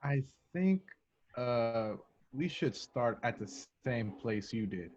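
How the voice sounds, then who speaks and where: A man's voice: medium pitch, lively intonation, slow, a little mellow, dull audio, faint music behind. A man playing a wisecracking agent who bluffs as a doctor, hesitant, in an informal script read. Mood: awkward, bluffing.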